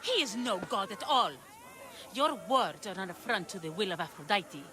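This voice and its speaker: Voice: mid-range voice, big pitch swings, moderate pace, lightly acted. A performer playing a stern, scornful priestess in a video game, haughty and declamatory. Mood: haughty, cold. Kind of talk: video game cutscene acting.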